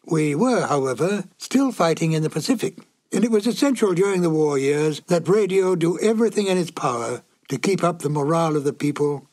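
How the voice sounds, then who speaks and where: A man hosting and introducing a reissued old radio drama, in measured, explanatory scripted narration. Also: fairly high pitch, darkish tone.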